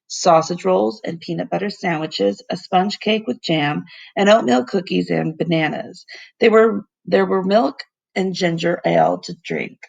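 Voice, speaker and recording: A woman with noticeable creak in her voice reads a children's storybook aloud as a teacher would. She is expressive and addresses her listeners in asides when she trips over words. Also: on the low side, a little slow, lo-fi sound. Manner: stumbles on hard words and comments aside to listeners. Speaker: elementary school teacher reading aloud.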